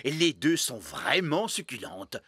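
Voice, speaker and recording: A man voicing a pompous, excitable middle-aged fairy king in a children's cartoon, with a grand, declamatory manner. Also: on the high side, takes it slowly. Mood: pleased. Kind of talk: animated children's cartoon dub. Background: loud music.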